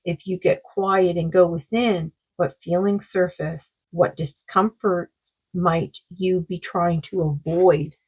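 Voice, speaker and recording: A woman with a sore throat giving a rambling, casual oracle card reading, full of fillers and slow read-aloud passages. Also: on the low side, fairly even intonation, darkish tone, husky, low-quality recording. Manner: rambling, many fillers, reads card texts aloud slowly. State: sore throat, losing her voice.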